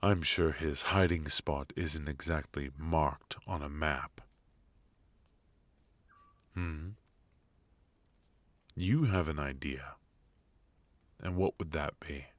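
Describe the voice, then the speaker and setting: A man playing a brooding, protective knight in an intimate ASMR roleplay, speaking in a halting, earnest, hushed way. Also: extremely low pitch, no echo. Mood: pensive, uncertain. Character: brooding, protective male knight devoted to an outlawed royal. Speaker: voice actor.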